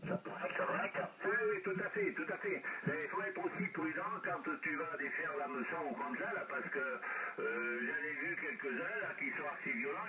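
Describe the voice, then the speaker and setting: A man talking casually as an amateur radio operator, heard through a radio transmission. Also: on the high side. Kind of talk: casual radio chat, anecdotes and questions. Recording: loud hiss, radio re-recorded by phone. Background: loud hiss.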